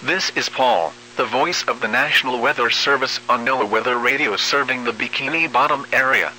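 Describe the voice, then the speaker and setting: A synthetic text-to-speech man reading an automated weather bulletin as a radio announcer, formal, measured and impersonal. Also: medium pitch, bright, forceful tone, slight background noise, low-quality recording.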